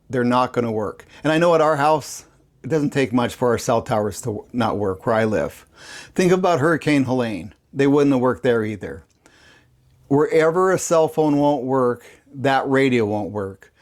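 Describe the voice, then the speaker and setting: A man with a mid-range voice talks casually to his viewers as a video host. He explains things in plain, folksy language. He tends to restate his point for emphasis. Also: mid-range voice. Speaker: host of a prepping channel, ham radio operator.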